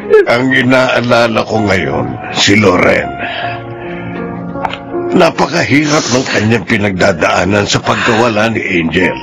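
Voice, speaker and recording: A man's voice: lowish voice, animated, a little slow, creaky. An actor playing a protective, indignant grandfather in a Filipino radio drama, speaking with weighty emphasis. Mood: worried. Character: protective, indignant grandfather worried for his daughter. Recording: music bed under the voice.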